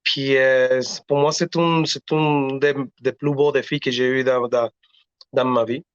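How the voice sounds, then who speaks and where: Singer guest, male, halting and earnest, middle pitch, muffled lo-fi recording. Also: fairly even intonation, clean tone, no echo. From Latin America. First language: Spanish. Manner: earnest, halting, frequent restarts. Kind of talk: casual interview talk. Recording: dull lo-fi audio.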